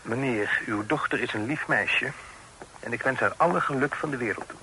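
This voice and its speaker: An actor playing an upright, harried young gentleman, polite but frank and formal, in an old radio drama. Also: mid-range voice, moderate pace, close to an everyday voice. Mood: frank, reserved. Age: young adult. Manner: formal, frank, slightly impatient. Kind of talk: vintage Dutch radio drama acting. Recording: noisy old radio play recording.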